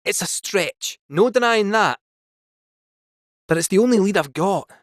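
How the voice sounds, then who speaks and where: A man's voice: animated, measured pace, no room sound, clean studio sound. A man voicing a chatty, scheming male robot cat in a game, with a Scottish accent and a jaunty, theatrical delivery. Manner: jaunty, theatrical, swooping pitch. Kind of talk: video game voice acting.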